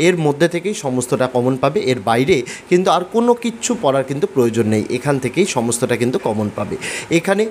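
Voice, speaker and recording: A man's voice: medium pitch, big pitch swings, fast, a little mellow, slight background noise. A man with a West Bengal accent explaining things to students as an online teacher, in an instructional, emphatic and repetitive way. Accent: Bengali from West Bengal, India. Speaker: online class teacher and channel host. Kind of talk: explanatory tutorial narration addressed to students.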